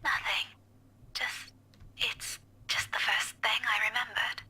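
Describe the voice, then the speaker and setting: An actress playing an anxious, wistful young woman in a visual novel, with a breathy delivery. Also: a little high, little pitch movement, slow pace, sharp tone, heavily acted. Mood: wistful, subdued. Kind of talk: dramatic visual novel voice acting.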